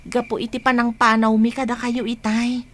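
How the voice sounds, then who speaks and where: Woman acting a high-pitched friendly village girl. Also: flat delivery, moderate pace, a little hiss or hum, lightly acted. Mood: apologetic. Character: young village woman, friendly, bashful, apologetic confidante. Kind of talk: Ilocano radio drama acting.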